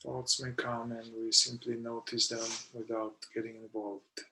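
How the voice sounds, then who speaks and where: Slow, creaky-voiced male meditation guide. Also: medium pitch, level tone, sharp tone, slight background noise, low-quality recording. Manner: unhurried, deliberate, long pauses between short phrases. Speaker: meditation guide, somatic therapist.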